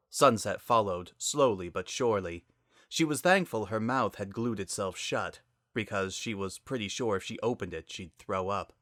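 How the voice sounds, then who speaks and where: A man's voice: on the low side, fairly bright tone, dry recording, clean studio sound. A man narrating a story as a scripted dramatic reading.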